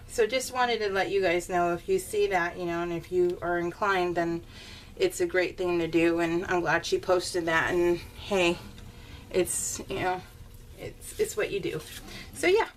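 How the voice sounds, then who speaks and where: A woman's voice: on the low side, steady tone, a little slow. An American woman chatting casually in a rambling, giggly, conversational way, as the host of a homemade craft vlog. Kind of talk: casual chatty vlog voiced in real time. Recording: slightly distant mic, faint room noise.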